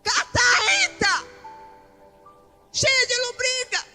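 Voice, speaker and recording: A woman's voice: extremely high pitch, very animated, very slow, bright, forceful tone, raised voice. A Brazilian woman pastor preaching an impassioned, emphatic sermon in colloquial everyday speech, leaning on repetition and rhetorical questions. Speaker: pastor preaching at a women's church conference. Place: large indoor church event hall. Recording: echoey large hall PA, faint music.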